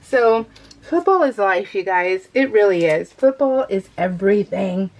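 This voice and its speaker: A woman's voice: medium pitch, lively intonation, unhurried. Chatty middle-aged American woman. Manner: chatty, giggly, self-deprecating, rambling. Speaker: crafting vlogger. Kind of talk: casual chatty craft process narration. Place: indoors at a craft table, voiced live while working.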